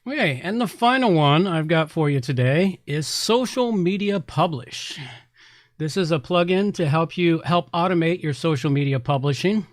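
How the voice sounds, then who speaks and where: A man's voice: on the high side, expressive, moderate pace. A man co-hosting a podcast, reviewing products in a casual, chatty, folksy way. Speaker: podcast co-host.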